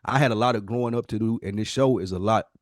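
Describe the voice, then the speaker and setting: Male podcast co-host, rapid delivery, dry close sound, unfiltered street slang and swearing. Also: lowish voice, no echo. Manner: slangy, profane, candid. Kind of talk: casual podcast conversation.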